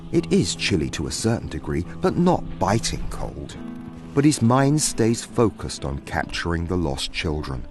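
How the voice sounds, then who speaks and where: A man's voice: deep voice, darkish tone. A man narrating a scripted fantasy story in a theatrical storybook manner.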